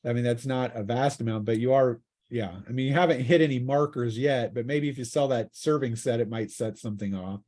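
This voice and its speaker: A man's voice: medium pitch, fairly quick, few pauses, a little mellow. A man acting as game master, casually explaining the rules step by step to his players. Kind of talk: casual tabletop role-playing talk.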